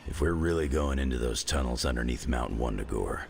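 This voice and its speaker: An actor playing a gruff, wisecracking clawed mutant veteran in a video game cutscene, with a sarcastic, joking manner. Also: male voice, a little fast, darkish tone, soft background music. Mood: wry. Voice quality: gravelly, very deep.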